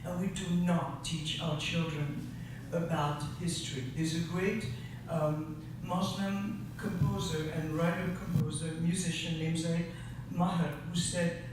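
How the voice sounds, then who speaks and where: A man in his sixties from Europe, a teacher speaking earnestly at a public forum, with passion and halting restarts. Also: on the high side, steady tone. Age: 60s. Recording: echoey room, distant mic, noisy.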